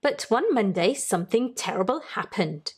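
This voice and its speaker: A woman hosting a children's story time, reading aloud in a deliberate, teacherly way and putting questions to young listeners. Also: mid-range voice, lively intonation, husky.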